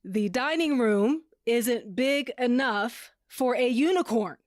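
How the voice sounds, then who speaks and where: A woman playing an exasperated, bossy wife with a sharp manner in a fantasy audio drama. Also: on the high side, animated, takes it slowly, dry recording, clean studio sound.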